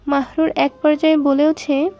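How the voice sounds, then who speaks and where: A woman's voice: sits high, little pitch movement, normal speed, mellow, dull audio. A woman narrating a scripted romantic story.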